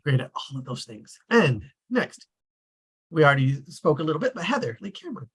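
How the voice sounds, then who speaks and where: Online table read host, husky voice, mid pitch, informal and conversational delivery. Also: very animated, fairly quick.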